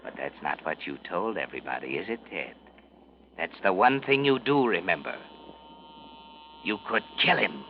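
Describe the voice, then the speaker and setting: A man acting as the menacing narrator of a 1940s radio suspense play, speaking like a guilty conscience in a measured, insinuating manner. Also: sits low, expressive, noisy background. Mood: taunting, sinister. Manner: measured, insinuating, addressing the character as you. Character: ominous taunting male narrator, a guilty man's inner voice. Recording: muffled 1940s radio recording, music behind.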